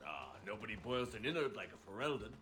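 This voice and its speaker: Game dwarf rogue: lowish, forceful voice, sardonic, wry, relishing mischief. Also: male voice, very animated, fast. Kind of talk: video game cutscene acting.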